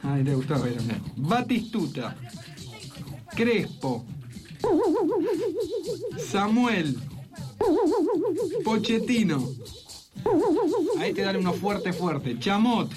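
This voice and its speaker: A man with a Buenos Aires accent co-hosting a radio show, reciting slowly and theatrically with music behind him. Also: sits high, sing-song. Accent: Argentine, Buenos Aires. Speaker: radio co-host. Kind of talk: slow dramatic roll call of names over music.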